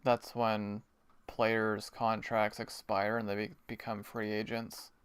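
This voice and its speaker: Smooth-voiced Canadian man chatting on stream. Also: fairly low pitch, little pitch movement, slow, fairly bright tone, dry recording. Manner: chatty, explains the game, chuckles often. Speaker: hockey watch party streamer. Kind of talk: casual live-stream chat.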